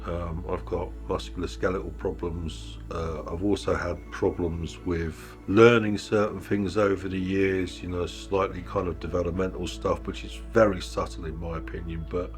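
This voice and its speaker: A man in his fifties speaking reflectively and wryly in a documentary interview, pausing as he recounts his experiences. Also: low-pitched. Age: 50s. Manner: reflective, wry, measured with pauses. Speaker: interviewee, musician and sound engineer.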